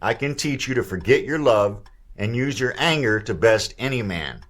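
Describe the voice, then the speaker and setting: A man's voice: medium pitch, lively intonation, moderate pace, fairly bright tone. A man playing a stern, commanding gang boss in an audio drama, with a folksy, domineering way of talking.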